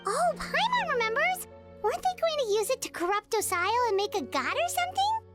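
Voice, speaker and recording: A woman's voice: very high voice, a little fast, over music. A woman voicing a tiny, bubbly floating companion girl in a video game, who talks about herself in the third person. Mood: curious. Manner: bubbly, excitable, childlike.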